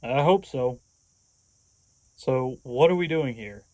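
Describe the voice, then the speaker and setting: Audio drama: male actor as a wary young wisecracker, medium pitch, very dry close sound. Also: slow pace, darkish tone, no echo, lightly acted. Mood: skeptical. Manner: sardonic, wary. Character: skeptical, wisecracking younger man dragged reluctantly into danger.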